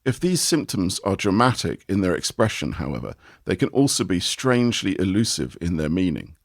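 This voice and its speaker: Low-voiced man reading with lively inflection. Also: gravelly, dry recording, studio quality. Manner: measured, expressive reading with lively rise and fall. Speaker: reader of an article. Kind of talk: read-aloud article narration.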